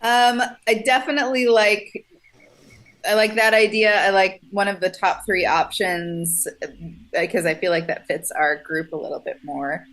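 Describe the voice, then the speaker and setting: A woman's voice: medium pitch, steady tone, unhurried, a bit bright, poor audio. A woman chatting casually as a player at a roleplaying game, searching for words as she describes her character. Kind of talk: casual table talk, describing own character.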